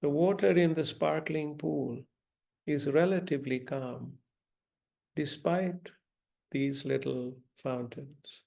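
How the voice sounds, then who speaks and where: A man leading a guided meditation in a gentle, deliberate manner, giving instructions with long pauses. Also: a little high, vocal fry.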